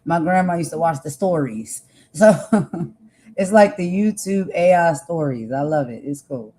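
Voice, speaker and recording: A woman host with a slightly raspy voice, chatting casually and loosely with lots of fillers and slang. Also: fairly low pitch, expressive, measured pace, warm tone. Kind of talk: casual chat.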